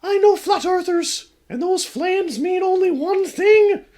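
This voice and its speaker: A man voicing a young ghost girl, gentle and forgiving, in a high, bubbly voice. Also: moderate pace, vocal fry.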